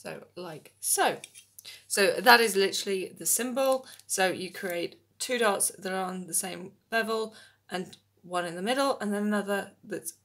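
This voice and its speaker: A young woman, slightly hoarse from a cold, walks through a makeup tutorial at home. She talks in a relaxed, step-by-step way with frequent ums, speaking to a camera about arm's length away in a small room. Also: lowish voice. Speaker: makeup vlogger.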